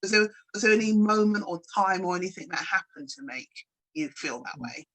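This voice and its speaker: A woman's voice: medium pitch, lively intonation, brisk, a bit bright. Woman moderating a panel. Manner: affirming, gives frequent "mm" responses. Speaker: panel host.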